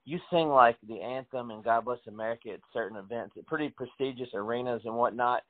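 Male host, quick and rambling, casual interview; phone-line audio. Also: mid-range voice. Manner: rambling, eager, many fillers. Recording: phone-like narrow sound.

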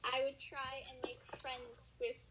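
A woman's voice: high-pitched, flat delivery, a little slow. A woman chatting on a video call, hesitant and a little unsure, over a noisy connection. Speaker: participant on a group video call. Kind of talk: casual chat.

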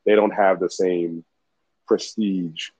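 A man with a very low, husky voice talks in a loose, rambling way and swears casually. The sound is dull and lo-fi. The room is completely dry. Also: fairly even intonation, slow, darkish tone, dry recording. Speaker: podcast co-host. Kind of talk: casual chat.

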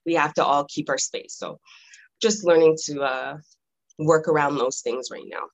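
A woman's voice: low voice, normal speed, highs cut off. A middle-aged woman from Toronto, a podcast guest introducing herself in chatty, casual talk with many ums and laughs. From Toronto, Canada.

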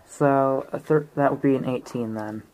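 A woman's voice: very deep voice, normal speed, dark tone, poor audio. A woman chatting casually as a player in a tabletop game. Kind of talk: casual chat during a game. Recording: clear background noise.

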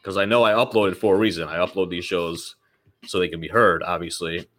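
Low-voiced man hosting a casual podcast. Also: fairly even intonation, brisk, fairly bright tone, faint music behind. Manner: loose, rambling, swears casually. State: slightly nasal from a cold. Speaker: podcast host. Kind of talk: casual solo podcast talk.

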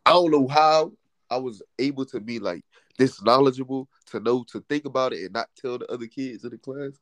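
A man in his twenties co-hosting a podcast, talking in an animated way that swings from low mutters to laughing outbursts. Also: a little fast, dry recording. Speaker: podcast co-host. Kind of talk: casual podcast chat.

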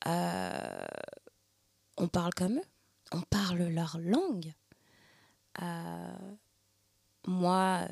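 Slow, gravelly-voiced woman musing. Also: fairly low pitch, no room sound. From Paris region, France. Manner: thoughtful, searching, many fillers. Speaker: speaker sharing personal testimony. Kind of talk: reflective personal monologue.

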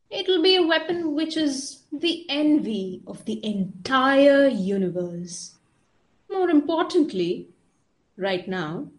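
A woman playing a determined, vigilant weapon maker in an audio drama, speaking deliberately and emphatically. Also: high-pitched, a little mellow, full character voice. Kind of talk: amateur audio drama monologue.

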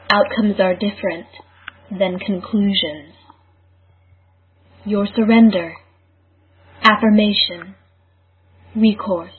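A woman reading poetry aloud in a measured, literary style. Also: medium pitch, husky. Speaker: poetry reader. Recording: thin, phone-like narrow audio.

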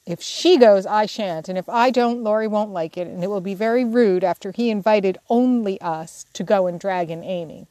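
A woman's voice: mid-range voice, moderate pace, hardly any pauses, dark tone, dry recording, natural voice. A woman voicing an impatient, hot-tempered teenage elder sister in a storybook, brusque and scolding. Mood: cross.

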